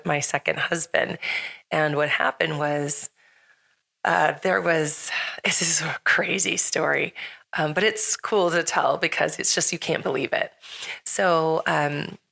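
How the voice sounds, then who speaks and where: A middle-aged woman with a slightly hoarse, raspy voice hosts a casual podcast chat, rambling with lots of fillers and frequent laughs. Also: low-pitched, pushed and bright. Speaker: podcast host.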